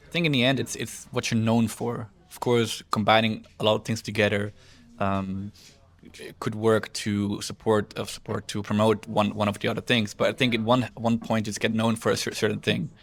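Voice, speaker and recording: Gravelly low man hosting, halting. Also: flat delivery. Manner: halting, repeats words and restarts while asking questions. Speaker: podcast co-host. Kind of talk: casual podcast interview.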